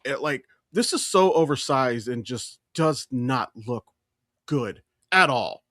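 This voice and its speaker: A man's voice: medium pitch, lively intonation, slow, fairly bright tone, no echo, studio quality. Opinionated man chatting on a podcast. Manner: frequent casual swearing; opinionated, emphatic ranting. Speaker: podcast co-host. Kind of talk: casual pop-culture chat.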